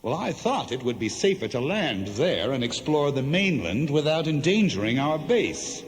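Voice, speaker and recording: An actor playing a crafty, weary Greek hero recounting his troubles in a theatrical, measured radio play style. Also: medium pitch, sing-song, lightly acted. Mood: storytelling. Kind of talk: 1970s radio play acting, storytelling. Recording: noisy, long hall reverb, cassette radio play.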